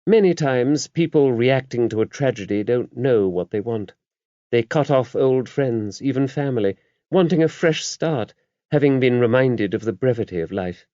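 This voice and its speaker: A man voicing an older abbess in an audiobook, a shrewd, gently wry woman who talks in a brisk, genteel, confiding way. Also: medium pitch, dry recording, pitched above the performer's own voice, close to an everyday voice. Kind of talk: audiobook character voice. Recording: dull, muffled lo-fi audio.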